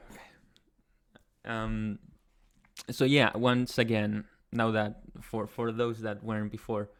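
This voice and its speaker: A young man from Chile with a Chilean Spanish accent, hosting a casual livestream and answering chat in a soft, hesitant way with many pauses. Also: fairly low pitch, fairly even intonation. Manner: soft-spoken, hesitant, many ums and restarts. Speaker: ASMR channel host. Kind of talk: casual livestream chat, reading viewer comments.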